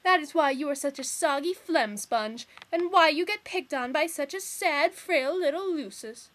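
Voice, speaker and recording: A woman's voice: sits high, lively intonation, moderate pace, a bit bright. A woman voicing a bossy, impatient young troll girl with a cruel streak, theatrical and sarcastic, in an amateur fandub. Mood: lecturing, condescending. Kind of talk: amateur fandub audition acting.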